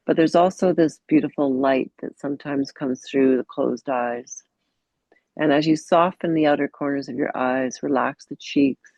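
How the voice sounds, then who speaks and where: A woman guides a mindfulness meditation gently, leaving pauses between short phrases. Her delivery is slow, with a lot of creaky fry in the voice. The recording is dull and muffled. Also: deep voice, dry recording. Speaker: meditation teacher.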